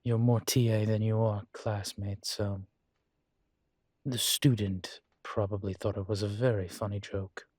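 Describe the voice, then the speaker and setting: A man playing a weary incubus college professor, gruff but fond, in an intimate scripted audio roleplay, speaking haltingly with sighs. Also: fairly low pitch, level tone, darkish tone, no room sound, clean studio sound. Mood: wry, welcoming. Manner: slow, halting, sighing, candid.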